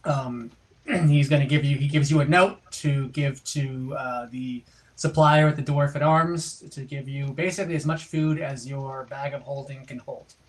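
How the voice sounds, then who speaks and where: Halting man narrating as game master. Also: medium pitch, steady tone. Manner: halting, thinking aloud. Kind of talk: improvised narration of an online tabletop game.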